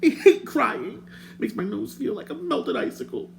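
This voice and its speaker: A man voicing a spirited young girl in an audiobook, high-voiced and indignant. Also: huge pitch swings, moderate pace, vocal fry, slight background noise, exaggerated voice. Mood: tearful.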